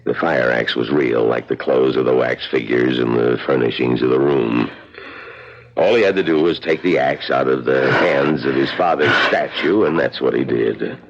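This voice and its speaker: An actor playing a theatrical museum guide who recounts murders with relish in a radio drama, in a gravelly voice. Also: male voice, normal speed. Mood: confiding. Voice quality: very deep, gravelly. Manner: theatrical storytelling. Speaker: narrator and actor. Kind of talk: old-time radio drama acting. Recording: dull vintage radio recording.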